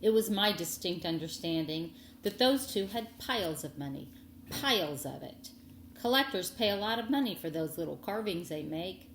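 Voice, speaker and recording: A woman's voice: lowish voice, expressive, normal speed, reverberant, slight background noise. A woman voicing a smug, nosy, greedy man, a storybook villain who is drawling, falsely polite and insinuating. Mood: prying.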